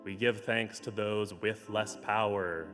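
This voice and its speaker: A man with a slightly hoarse, lowish voice leads his congregation in gentle prayer. He takes his time, pausing between phrases. The sound carries the long reverb of a large church hall, heard over a livestream. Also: steady tone, faint music behind. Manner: slow, measured and gentle. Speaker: minister. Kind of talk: pastoral notes and spoken prayer.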